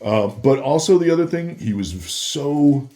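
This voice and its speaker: A man's voice: on the high side, animated, measured pace, dark tone. A man telling anecdotes casually as a podcast guest, acting out remembered exchanges as he goes. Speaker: guest, former celebrity security worker.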